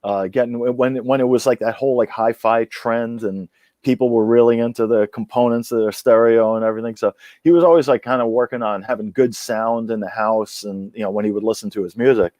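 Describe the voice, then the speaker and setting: Mellow-voiced man, dry sound. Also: mid-range voice, fairly even intonation, a little fast, no room sound. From born in Iran, raised in England and the US. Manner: rambling, full of fillers and restarts. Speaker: guest musician. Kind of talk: casual interview chat. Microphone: video call.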